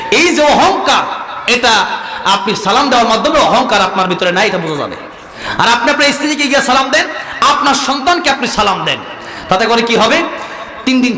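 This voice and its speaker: A man with a Bangladeshi accent preaching an impassioned waz sermon, exhorting his listeners and moving between plain talk and drawn-out melodic chanting. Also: high voice, pushed and bright. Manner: impassioned, exhorting, calls for responses. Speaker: Islamic preacher. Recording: echoing PA sound with long reverb.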